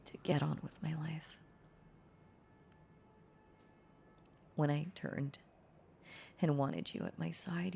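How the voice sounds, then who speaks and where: A woman playing a heartbroken, tender former girlfriend in an intimate audio roleplay, confessing haltingly in a breathy, husky voice that slips into whispers. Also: deep voice, warm tone, slight background noise, full character voice. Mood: sorrowful, aching. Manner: halting, confessional, repeating words, breaking into whispers.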